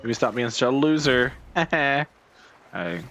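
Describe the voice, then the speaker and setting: A man's voice: mid-range voice, expressive, slow pace, fairly bright tone, a little hiss or hum. A man giving casual gameplay commentary as a streamer, muttering to himself as he plays. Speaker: video game streamer. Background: game music.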